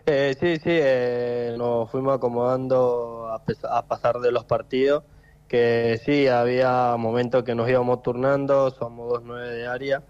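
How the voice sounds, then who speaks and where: Young Argentine footballer, monotone and dark-toned, halting phone interview, lo-fi line. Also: male voice, medium pitch, clear, resonant tone. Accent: Argentine Spanish. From Tucumán, Argentina. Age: 20s. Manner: halting, repeats words, many fillers. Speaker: footballer guest.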